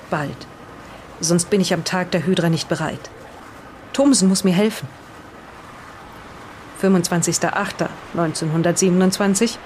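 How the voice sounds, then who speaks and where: A woman's voice: on the low side, a little mellow, lightly acted. A woman playing an uneasy 1920s witness to occult events in an audio drama, with faint noise behind. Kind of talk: in-character audio drama retelling.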